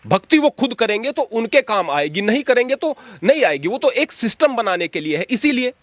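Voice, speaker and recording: A man's voice: very high-pitched, big pitch swings, brisk, fairly bright tone, no room sound. A man giving his opinions in an interview like a preacher, emphatic and exhorting, repeating phrases for stress.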